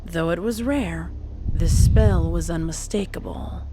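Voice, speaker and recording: A woman reading a dark story as dramatic scripted narration. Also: lowish voice, a little slow. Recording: clearly noisy background.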